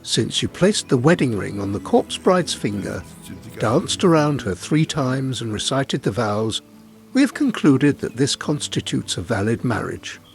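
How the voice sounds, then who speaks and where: A man's voice: mid-range voice, very animated, unhurried, vocal fry. A man voicing a solemn rabbi in a folk tale, formally pronouncing judgment. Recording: music bed behind the voice.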